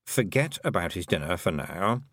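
A man voicing a dry, knowing male talking toad who murmurs in a low, confiding way. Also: very animated, normal speed, fairly bright tone, no echo, full character voice.

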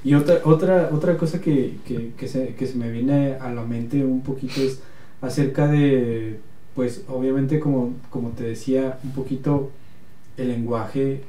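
A man's voice: mid-range voice, fairly even intonation, a little slow, dark tone, recorded from a distance. A man with a Mexican accent interviewing a guest, asking long, rambling questions with many restarts. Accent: Mexican Spanish. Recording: echoey room, voice set back from the mic.

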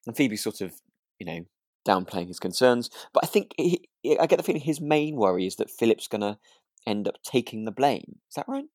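A man with an English accent talks casually as a podcast co-host. He speaks in a hesitant, rephrasing way, at a medium pitch, and the sound is very dry with no room to it. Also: lively intonation, fairly quick, a little mellow, no echo. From near Birmingham, England. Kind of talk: relaxed casual chat.

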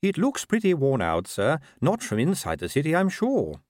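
A man's voice: a little high, huge pitch swings, moderate pace, darkish tone, no echo, studio quality, voice pushed up. A man voicing a dutiful, eager junior police constable in an audiobook, with a deferential way of talking.

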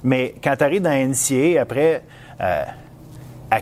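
A man's voice: mid-range voice, expressive, unhurried, darkish tone. A man with a Quebec accent hosting a casual sports interview, feeling his way through questions as he rephrases them. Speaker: podcast interviewer.

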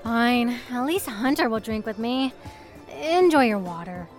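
A woman's voice: fairly high pitch, lively intonation, a little slow, faint music behind. An actress playing a pushy, playful young party girl in an audio drama, with a brash, casual way of talking. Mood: teasing, exasperated. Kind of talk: audio drama character acting.

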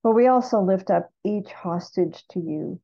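A woman leading a read-aloud prayer in a measured, solemn manner. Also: medium pitch. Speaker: prayer leader. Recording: dull lo-fi sound.

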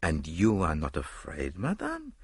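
A man plays a courtly foreign detective from an audiobook mystery. He speaks with a French accent, dry and deliberate, with a mocking edge and very animated pitch in a close, dry recording. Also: husky, no echo, heavily acted.

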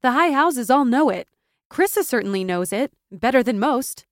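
A woman's voice: mid-range voice, normal speed, dry recording, clean studio sound, close to an everyday voice. A woman voicing a loyal, stubborn young woman in a fantasy audiobook, insistent and impassioned. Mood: fierce, rousing. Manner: insistent, impassioned, gaining momentum. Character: fierce, stubborn, loyal young woman, pleading best friend.

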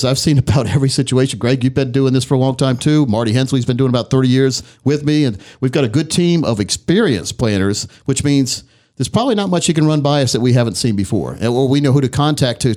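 Middle-aged radio host guy, talks fast, voice goes up and down a lot, folksy. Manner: energetic, folksy, sales-pitch talk. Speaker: radio show host, financial planner. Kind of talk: casual radio talk.

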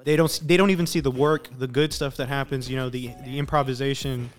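A man's voice: medium pitch, fairly even intonation, quick pace. A young man from Sacramento, a rapper, chatting casually and leaning on "you know" all the time. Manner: laid-back, says "you know" constantly. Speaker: rapper and podcast guest. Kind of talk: casual chat. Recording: music playing in the background.

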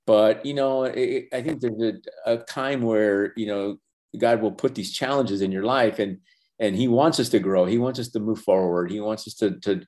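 An older man whose first language is Spanish, talking earnestly in a casual interview, halting with many restarts and fillers. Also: lowish voice, darkish tone. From Los Angeles. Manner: earnest, reflective, faith-centered. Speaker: animation professor and former feature animator, guest.

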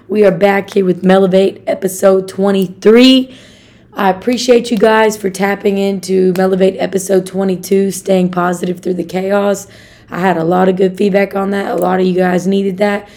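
A young woman from Arkansas with a raspy voice, hosting a casual motivational podcast in an upbeat, chatty way and talking straight to her listeners. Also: mid-range voice, level tone, moderate pace, a little mellow. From Arkansas, USA. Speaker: podcast host.

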